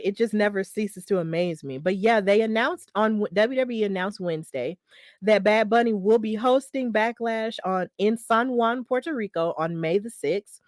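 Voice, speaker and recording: A woman's voice: mid-range voice, no echo, studio quality. A woman hosting a solo wrestling news podcast, talking in a chatty, upbeat way with lots of fillers and self-corrections. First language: English. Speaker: podcast host.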